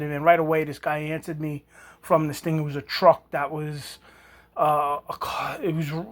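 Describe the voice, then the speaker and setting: A man's voice: on the high side, a bit bright. A man, casually showing an old item and rambling with many restarts. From New York. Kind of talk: casual show-and-tell.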